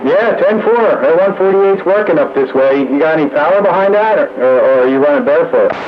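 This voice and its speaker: A man's voice: fairly high pitch, animated, brisk. A man chatting casually over CB radio in folksy operator lingo, running a check-in net. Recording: muffled, noisy CB radio audio.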